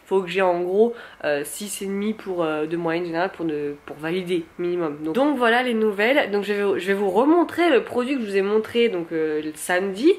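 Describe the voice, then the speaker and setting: Young woman vlogger, cold-stuffed nose, chatty and filler-heavy, arm's-length camera in a small flat. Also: lowish voice. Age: young adult. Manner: chatty, lots of fillers and repeated words, enthusiastic. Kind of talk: casual vlog chat to camera. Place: small bright apartment living room, indoors. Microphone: handheld camera at arm's length.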